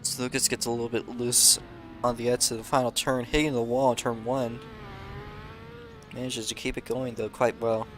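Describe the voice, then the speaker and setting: A man calmly commentating a sim race, calling driver positions and penalties with frequent fillers. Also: mid-range voice, moderate pace, slight background noise. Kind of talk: live sim racing commentary.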